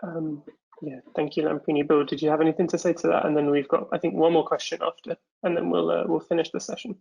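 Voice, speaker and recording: A woman's voice: deep voice, flat delivery, fast talker, vocal fry, warm tone, breathy edge, lo-fi sound. A woman moderating an online session briefly thanks the speaker and hands over to the next one.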